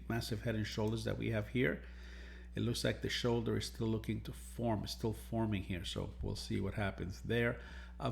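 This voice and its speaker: Deep gravelly man explaining market charts. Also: slight background noise. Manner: explanatory, repeats phrases for emphasis. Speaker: trading educator and market analyst host. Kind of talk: premarket market analysis over charts, semi-scripted explanatory talk.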